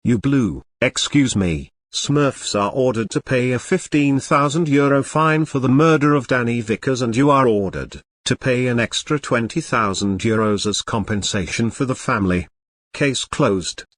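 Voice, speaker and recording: A man plays a courtroom judge in a web drama. His voice is mid-pitched and recorded very dry. He talks in a measured, official manner. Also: a bit bright, dry recording, close to an everyday voice.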